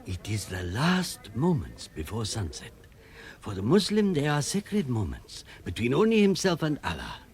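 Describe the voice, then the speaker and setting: A proud Egyptian inspector in a radio play. His mid-range voice moves widely in pitch. He speaks formally and solemnly, with firm resolve. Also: wildly swooping pitch, a little mellow. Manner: formal, measured phrasing. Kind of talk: radio drama acting.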